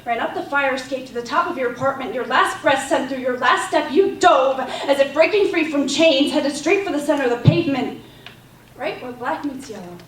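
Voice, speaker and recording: A woman's voice: high voice, animated, a little fast. A woman performing dramatic slam poetry on stage. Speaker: slam poet. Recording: echoey hall, distant mic, faint noise.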